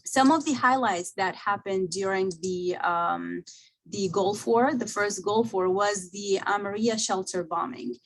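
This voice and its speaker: A woman's voice: mid-range voice, a little slow, low-quality recording. A young adult woman from Iraq, speaking earnestly as a panelist in an academic talk, with frequent fillers. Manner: earnest, many fillers, personal perspective. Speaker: visiting scholar panelist.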